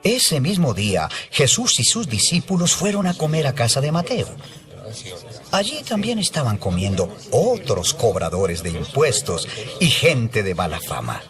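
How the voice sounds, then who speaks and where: Male narrator for an audio Bible: deliberate, varied intonation, scripted storytelling over music. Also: mid-range voice, sing-song.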